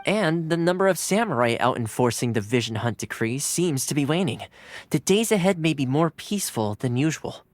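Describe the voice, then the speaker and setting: Man voicing a lively, easygoing young retainer. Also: fairly high pitch, normal speed, close to an everyday voice. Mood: reassuring. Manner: breezy, playful, expressive. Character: easygoing, cheerful young male retainer, playfully competitive. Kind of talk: animated video game voice acting. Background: background music.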